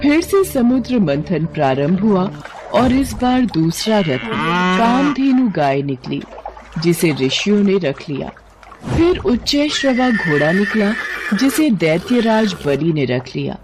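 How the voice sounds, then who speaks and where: A woman's voice: medium pitch, big pitch swings, slow pace, over music, rough recording. A woman narrating a scripted mythological bedtime story as a storyteller.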